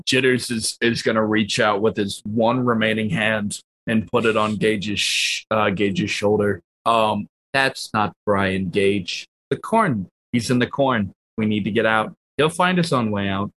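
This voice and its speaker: A man's voice: on the low side, unhurried, few pauses, fairly bright tone, low-quality recording. A man, casually narrating his character as a player in a tabletop roleplaying game.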